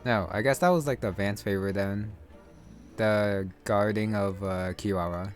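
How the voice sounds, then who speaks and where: A man with a fairly low voice comments casually on a game he is playing. He muses aloud in a low-key way. Soft music plays behind him. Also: moderate pace. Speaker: gamer commenting on his playthrough.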